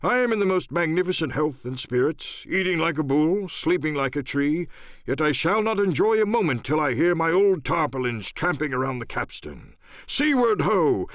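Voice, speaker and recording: Man acting a pompous, excitable country squire, swooping pitch. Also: no echo, studio quality. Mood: exuberant, urgent. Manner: effusive, exclamatory, pompous.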